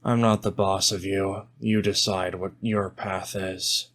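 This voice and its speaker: A stern, cryptic wanderer acted by a man in a tabletop game, low in pitch and very unhurried. He speaks solemnly, with halting, philosophical weight. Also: little pitch movement, a bit bright, exaggerated voice.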